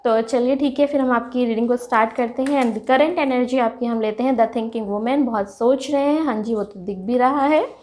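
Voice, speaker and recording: A woman hosting a casual tarot reading with a sore throat, clearing her throat often and chatting excitedly to her viewers as friends. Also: fairly high pitch, moderate pace. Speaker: tarot reader, channel host.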